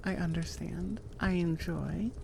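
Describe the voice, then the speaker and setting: A woman's voice: fairly low pitch, a little slow, creaky, background noise. A woman hosting her audio channel, chatting to listeners in an informal, rambling, self-correcting way. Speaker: audio roleplay creator, channel host. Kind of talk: casual, unscripted chat to listeners.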